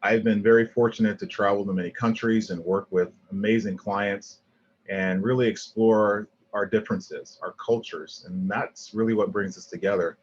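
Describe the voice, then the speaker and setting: Deep-voiced man giving an earnest lecture. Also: steady tone, moderate pace, breathy edge, muffled recording. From Liberia. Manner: earnest, many ums and restarts. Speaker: guest lecturer, landscape architect. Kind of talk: online lecture with slides.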